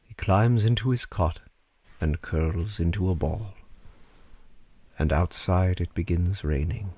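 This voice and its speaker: A man narrating a scripted story theatrically, with dramatic, deliberate phrasing and pauses. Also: sits low, breathy edge, muffled recording.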